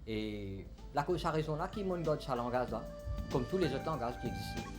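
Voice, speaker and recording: A middle-aged man with a Mauritian accent, a Tamil speaker, answering interview questions in a halting way, searching for words. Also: mid-range voice, lively intonation, over loud music. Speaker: tinsmith.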